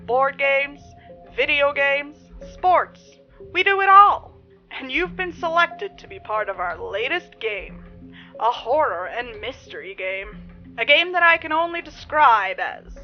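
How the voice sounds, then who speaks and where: A woman's voice: sits high, highs cut off, pitched above the performer's own voice. A woman voicing a male sales caller in a horror story, insistent and falsely polite, with a chirpy, sinister manner.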